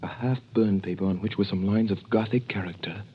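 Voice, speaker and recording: An earnest young French prisoner. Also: male voice, fairly low pitch, steady tone, a little fast, husky. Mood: grave. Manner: dramatic, earnest storytelling. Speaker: narrator. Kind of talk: 1930s radio drama narration. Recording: dull old radio recording with music.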